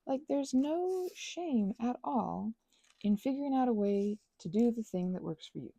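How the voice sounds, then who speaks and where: A woman's voice: a little high, expressive, a little slow, vocal fry, warm tone, slightly rough, dry recording. A woman chatting informally to her listeners in a rambling, meandering way, drifting into asides and offering casual encouragement. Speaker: ASMR and audio channel creator.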